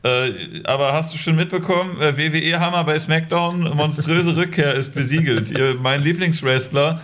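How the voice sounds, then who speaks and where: A man appearing as a guest on a podcast, telling stories in a rambling, relaxed way. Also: on the high side, moderate pace. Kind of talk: casual podcast chat.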